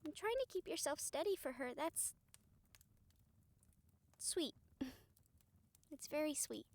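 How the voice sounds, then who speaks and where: A woman playing a gentle, supportive rehabilitator comforting a friend in an intimate audio roleplay, speaking softly, earnestly and a little hesitantly. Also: high-pitched, animated, slow pace, full character voice. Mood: tender, amused. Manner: soft, hesitant, reassuring, earnest.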